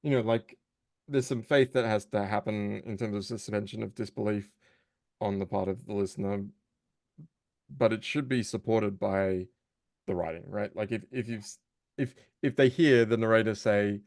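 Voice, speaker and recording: A man's voice: lowish voice, moderate pace, darkish tone, no echo, clean studio sound. A man who narrates audiobooks and does voice work, chatting casually in an interview and thinking aloud with frequent fillers and restarts.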